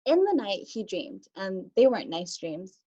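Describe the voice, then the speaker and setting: Female storybook reader, teacher, middle register, somewhat muffled low-fidelity recording. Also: big pitch swings, moderate pace, mellow. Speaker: teacher reading aloud to children. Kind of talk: children's picture book read-aloud. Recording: dull lo-fi audio.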